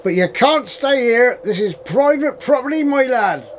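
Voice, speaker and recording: Man acting a high-voiced rustic English farm manager. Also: lively intonation, normal speed, slight background noise. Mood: chatty, firm. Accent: rural English. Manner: folksy dialect, addresses others as young lad. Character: gruff old-fashioned male farm manager, suspicious yet chatty. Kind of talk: audio drama acting.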